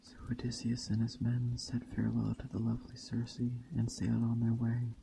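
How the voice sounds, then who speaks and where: Guy reading a greek myth out loud, super monotone, creaky relaxed voice, bit of ambient noise behind. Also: medium pitch, moderate pace. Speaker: storyteller.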